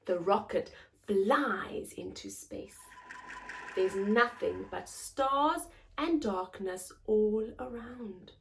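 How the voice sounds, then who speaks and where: A woman's voice: mid-range voice, lively intonation, extremely slow, gravelly, a little hiss or hum. A woman hosting a story time, reading aloud expressively to young children in simple phrases and putting questions to them.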